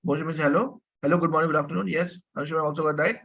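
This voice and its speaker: A male teacher with a fairly high voice leads an online French lesson. He explains things patiently, repeats himself and keeps asking the class to answer. The sound is dull and low-fidelity, like a video call. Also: fairly even intonation, rapid-fire, vocal fry, fairly bright tone, husky. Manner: patient, repeats himself, asks students questions. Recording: dull lo-fi audio.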